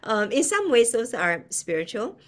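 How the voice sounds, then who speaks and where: A middle-aged woman from China with a Chinese accent, explaining in a conversational, halting way as an interview guest, often with a chuckle in her words. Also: fairly high pitch, very animated, a bit bright. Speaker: guest, quantum physicist. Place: indoor room, video call.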